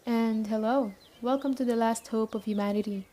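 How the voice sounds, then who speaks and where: A woman playing a stern but caring survivor camp leader in an ASMR roleplay, speaking briskly and matter-of-factly straight to the listener. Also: on the high side, steady tone, mellow, natural voice. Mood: stern, authoritative. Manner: brisk, matter-of-fact, addressing the listener directly.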